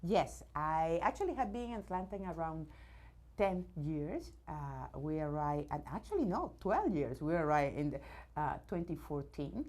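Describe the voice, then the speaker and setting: Low-voiced Venezuelan-born woman, halting speech. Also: very animated, a little mellow. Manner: many fillers and restarts, searching for words; enthusiastic about her subject. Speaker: robotics company CEO, guest. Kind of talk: news interview.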